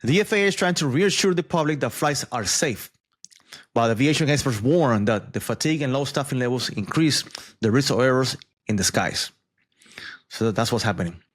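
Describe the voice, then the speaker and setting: A man talks through the day's news in a loose, conversational way. He adds asides, fillers and repeats words as he goes, in an even, mid-pitched voice. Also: normal speed. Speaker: news commentator. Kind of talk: casual news commentary.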